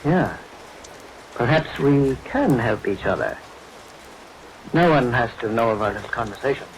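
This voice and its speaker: An actor playing a nervous, evasive man hiding guilt, halting and apologetic, in vintage radio thriller style. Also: medium pitch, lively intonation, low-quality recording. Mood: uneasy, scheming. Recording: vintage radio recording, hiss, rain behind.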